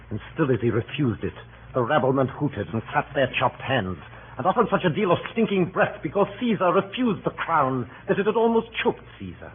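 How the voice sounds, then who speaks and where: An actor playing a blunt, scornful Roman in a radio Shakespeare drama, telling tales in a sardonic, theatrical way. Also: male voice, medium pitch, lightly acted. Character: blunt, scornful Roman man mocking the mob. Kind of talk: 1930s radio Shakespeare acting. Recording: tinny, hissy old radio recording.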